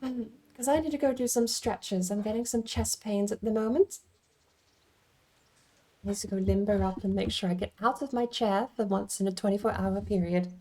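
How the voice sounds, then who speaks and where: A woman's voice: medium pitch, fairly even intonation, moderate pace, mellow. A woman streaming a game from home, rambling and thinking aloud as she plays. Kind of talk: casual gameplay commentary.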